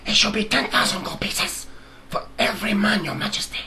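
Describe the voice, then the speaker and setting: A man plays a storybook court assassin with a sharp, bright voice. He addresses his king with deference, yet speaks boldly and in few words. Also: sits high, brisk, pitched above the performer's own voice, heavily acted. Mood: cool, bold. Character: deferential but bold male court assassin. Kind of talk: storybook character reading.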